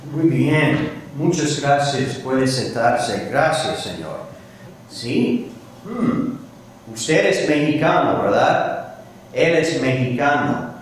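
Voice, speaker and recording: A middle-aged man with an American accent teaching a language class in a deliberate, instructive way. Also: medium pitch, sing-song. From United States. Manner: deliberate, instructive, checking with short questions. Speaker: Spanish teacher. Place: large classroom, indoors. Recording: echoey room, distant mic, faint noise.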